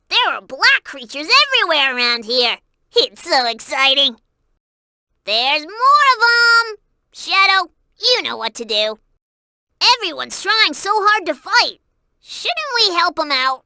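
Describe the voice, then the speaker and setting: A woman voicing an excitable, cute young creature sidekick in a video game, childlike and wide-eyed, exclaiming and giving hints. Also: very high-pitched, dry recording, clean studio sound, heavily acted. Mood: excited.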